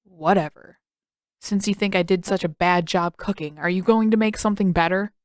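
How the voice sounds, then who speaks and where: A woman's voice: on the low side, normal speed, no echo, studio quality, close to an everyday voice. A woman voicing a dramatic teenage brother in an audiobook story, grumbling and indignant with emphatic delivery. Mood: grumbling, sullen.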